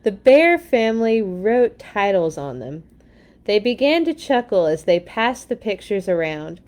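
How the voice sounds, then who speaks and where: A woman's voice: medium pitch, sing-song, a little slow, a little mellow. A woman hosting a children's read-along, reading stories expressively and dropping in casual asides.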